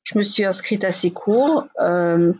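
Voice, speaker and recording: Woman, 40s, from Belgium, interview guest; husky, frank, chatty, filler-heavy. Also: mid-range voice, measured pace, darkish tone. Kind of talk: casual interview talk.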